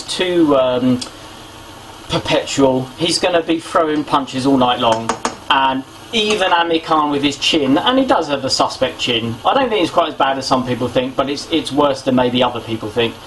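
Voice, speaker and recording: A young British man giving casual sports opinions as a vlogger, haltingly thinking aloud, recorded in a home kitchen on a camera mic. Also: mid-range voice, fairly bright tone, poor audio. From Britain. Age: young adult. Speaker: boxing vlogger. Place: small domestic kitchen indoors. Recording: clear background noise, homemade camera audio.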